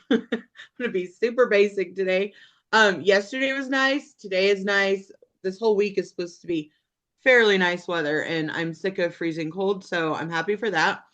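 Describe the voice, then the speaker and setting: A laid-back woman in her fifties co-hosting in casual chat. Her voice sits in a middle pitch, with ums and easy hesitations. The recording sounds dull and muffled, a bit lo-fi. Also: normal speed, fairly bright tone. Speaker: podcast co-host.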